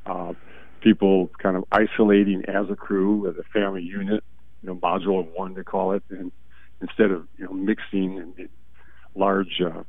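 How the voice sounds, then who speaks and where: Hoarse, deep-voiced man on a phone line. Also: little pitch movement, dry recording. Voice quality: gravelly. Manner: slow and halting, often trailing off quietly. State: hoarse, stuffy and congested. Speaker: guest expert on call-in. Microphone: phone call. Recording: tinny phone line, dry.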